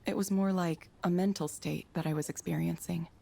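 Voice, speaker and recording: An actress playing a reserved, thoughtful new counsellor in a visual novel, speaking in a hesitant, measured way. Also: female voice, fairly low pitch, fairly even intonation, vocal fry, mellow, husky. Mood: tentative. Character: reserved, thoughtful woman, newly hired counsellor in a sci-fi game.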